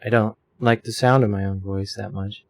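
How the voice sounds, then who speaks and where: A man talks through his recording setup in a loose, rambling way, stumbling over fillers as he goes. His voice sits a touch low and comes through a desk microphone at home. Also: steady tone, moderate pace, creaky. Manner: rambling, self-deprecating, many fillers. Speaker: streamer explaining audio settings. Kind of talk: casual tutorial. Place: home room with a fan running.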